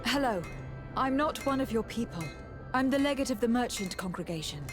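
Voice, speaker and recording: A woman's voice: fairly high pitch, animated, fairly quick, music in the background, close to an everyday voice. A woman playing a composed, courteous noble diplomat in a video game, speaking politely and formally. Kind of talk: video game dialogue acting.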